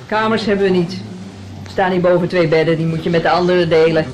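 An actor playing a gruff village innkeeper in a radio play, blunt and full of complaints. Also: male voice, sits high, steady tone, fast, a little hiss or hum, close to an everyday voice, rough recording. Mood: gruff, grumbling. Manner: blunt, grumbling.

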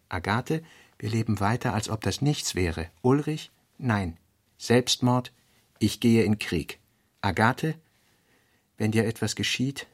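A brooding literary man in a radio play, his mid-pitched voice full of creak. He works through fragmentary notes in a halting, pensive way, pressing hard on some phrases. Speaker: radio drama actor.

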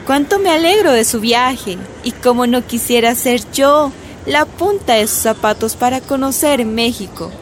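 An actress playing a smug government official in a radio drama, falsely sweet with menace underneath. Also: female voice, fairly high pitch, unhurried, hardly any pauses, slight background noise, lightly acted. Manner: falsely sweet, veiled threats.

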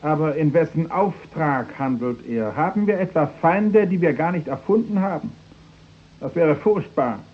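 A man's voice: fairly high pitch, expressive, moderate pace, slightly rough, muffled recording. A man acting a smug, cynical prime minister with a pompous, ironic manner in a 1960s German radio play. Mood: ironic, mock alarm. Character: smug, cynical male prime minister addressing his cabinet.

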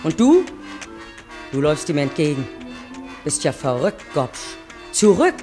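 A woman's voice: low-pitched, very animated, a little slow. Railwayman's wife, weary, intimate, dramatic, 1950s radio play, music underneath. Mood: alarmed, reproachful. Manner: dramatic, intimate direct address. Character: weary, pleading railwayman's wife looking back on youth.

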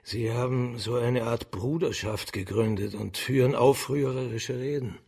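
Dutiful lieutenant from old china, super gravelly and hoarse-sounding, earnest, radio drama. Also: male voice, medium pitch, fairly even intonation, measured pace, darkish tone. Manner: earnest, reporting. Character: dutiful male lieutenant of a judge in ancient China. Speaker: actor in a radio crime drama. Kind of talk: radio play acting.

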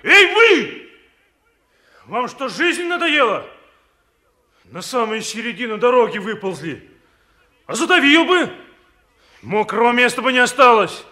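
An actor playing an angry truck driver scolding in an old Soviet radio play. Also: male voice, very high-pitched, very animated, unhurried, pauses often, sharp tone, exaggerated voice. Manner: scolding, exclamatory.

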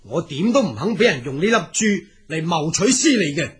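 An earnest dragon spirit in exile, played by a man, speaks Cantonese with a lively, swooping melody. The voice is very rough and husky. He is deferential but firm in this audio drama. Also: fairly high pitch, fairly quick, full character voice. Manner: hesitant interjections, deferential yet firm.

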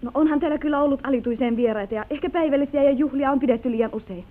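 An actress playing an anxious, bewildered wife with a fretful, pleading manner in an old radio drama. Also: female voice, high voice, lightly acted. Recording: old narrow-band radio recording.

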